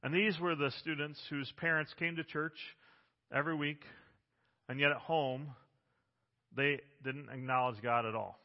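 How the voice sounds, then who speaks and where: A man in his forties preaching a sermon as pastor, loud and emphatic, with frequent restarts, recorded on a microphone in a church. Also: on the high side, steady tone, normal speed, long pauses. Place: church sanctuary. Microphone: handheld or stand microphone. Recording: dull sound with long hall reverb.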